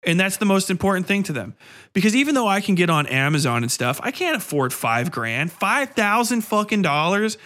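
A man's voice: high voice, big pitch swings, brisk, sharp tone. A man hosting a podcast, ranting casually in a sardonic, exasperated, heavily profane way. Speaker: podcast host. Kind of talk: casual opinionated rant.

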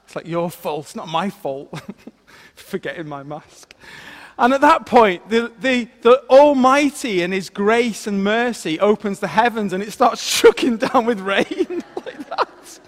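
A man, a former vicar, giving a sermon that opens with a self-deprecating personal story told in an animated way. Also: sits high, a little slow, long reverb tail.

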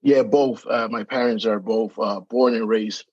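A man's voice: lowish voice, fairly even intonation, measured pace, husky, no echo. A Spanish-speaking man from the Dominican Republic, with a Dominican accent, talking as a podcast guest, hesitant with many fillers and restarts. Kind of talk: casual interview chat. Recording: dry, dull lo-fi sound.

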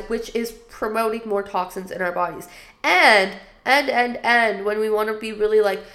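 A woman's voice: medium pitch, a bit bright. A woman hosting a solo podcast, giving advice in a chatty, upbeat way with many "like" fillers, her nose a bit stuffy from a cold. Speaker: podcast host.

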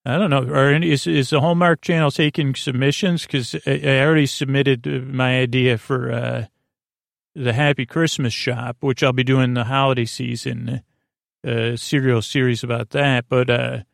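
A man hosting a bedtime podcast in a murmuring drone, meandering and halting as he stammers and repeats words. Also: medium pitch, steady tone, vocal fry, no room sound, studio quality. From United States. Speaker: podcast host. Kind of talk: rambling bedtime podcast monologue.

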